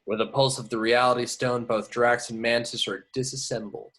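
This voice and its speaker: A man's voice: mid-range voice, level tone, moderate pace, fairly bright tone. A man reading scripted action descriptions as narrator at an amateur table read. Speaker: reader of stage directions.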